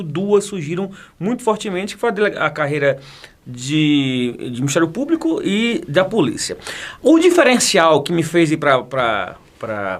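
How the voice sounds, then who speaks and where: A man, chatting as an interview guest who jokes and laughs often, repeating words as he talks. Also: high voice. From Bahia, Brazil. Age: 40s. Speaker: guest, federal police chief and teacher. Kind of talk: casual interview talk.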